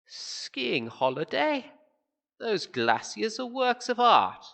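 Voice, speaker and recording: An actor playing a meek, halting old male planet designer in an amateur audio drama, precious about his art. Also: medium pitch, very animated, creaky, a bit bright, heavily acted. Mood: indignant.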